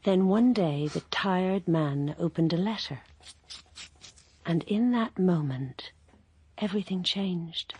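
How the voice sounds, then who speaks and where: Slow, low-voiced woman narrator. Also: lively intonation, mellow, slightly rough. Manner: measured, unhurried storytelling. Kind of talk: scripted narration.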